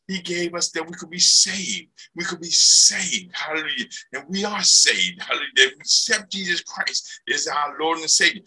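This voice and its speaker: A man preaching a fervent sermon, punctuating nearly every phrase with hallelujah and swinging between loud and soft. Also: on the high side, slightly rough, low-quality recording.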